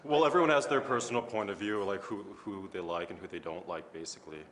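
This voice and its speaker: A man's voice: fairly low pitch, quick pace, crowd noise. A young man answering interview questions in a self-assured, vain way, leaning on fillers like basically. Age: young adult. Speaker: interviewee, model.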